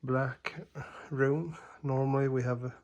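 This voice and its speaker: A man talking slowly and carefully in English, with a gravelly, creaky edge to his voice. His pitch stays flat and level as he hesitates and restarts his sentences. He is recorded on a camera mic in a dark living room at home. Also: highs cut off. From Sweden. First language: Swedish. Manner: hesitant, many fillers and restarts, apologetic. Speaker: TV reviewer. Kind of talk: casual product demo narration.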